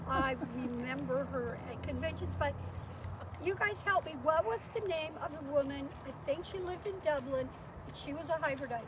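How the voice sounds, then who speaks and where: A woman with a high voice shares memories in a casual, conversational way. She is outdoors, and there is clear noise around her. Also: moderate pace. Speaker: guest sharing a tribute. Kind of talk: casual reminiscing. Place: outdoors in an iris garden. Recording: noisy outdoor recording without microphone.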